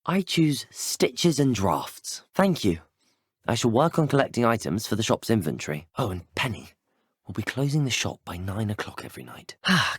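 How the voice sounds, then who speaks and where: A man's voice: medium pitch, breathy edge, dry recording, clean studio sound. A man voicing a polite, earnest young student wizard in a video game, with a British accent and formal, courteous speech. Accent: British English. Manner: courteous, formal, well-spoken. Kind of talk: scripted video game dialogue lines.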